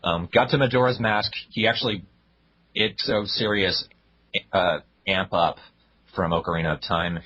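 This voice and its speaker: A man's voice: low-pitched, moderate pace, highs cut off. A young man co-hosting a casual podcast, reviewing web videos in a blunt, dry way. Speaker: podcast co-host.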